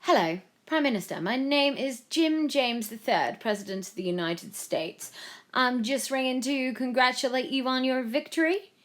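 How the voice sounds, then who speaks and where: Woman putting on a courteous male President, animated. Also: high-pitched, moderate pace, pitched above the performer's own voice. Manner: formal, polite.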